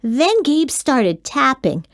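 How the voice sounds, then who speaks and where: A woman narrating a children's storybook in an expressive read-aloud style. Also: sits high, takes it slowly, no room sound, clean studio sound.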